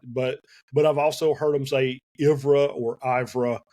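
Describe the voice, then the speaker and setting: A man with a Southern US accent, a guest in a casual podcast interview, talking in halting phrases with many fillers and restarts. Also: medium pitch, fairly even intonation, warm tone. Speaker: guest, sim racing team co-owner.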